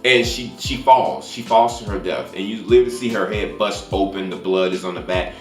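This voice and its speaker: A man's voice: lowish voice, fairly quick. Rambling man in a roomy, echoey space. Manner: rambling, repeats words while searching for the next one. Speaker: movie reviewer, channel host. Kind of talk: unscripted casual spoiler recap and review. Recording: roomy echo, faint music behind.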